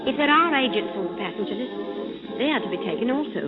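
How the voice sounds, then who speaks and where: A woman's voice: a little high, expressive, fast, lightly acted. Woman playing a sassy young agent, tinny old radio. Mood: businesslike. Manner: coy, self-assured, teasing. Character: sassy, dainty young female undercover agent. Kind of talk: 1940s radio adventure acting. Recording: tinny old radio recording, music behind.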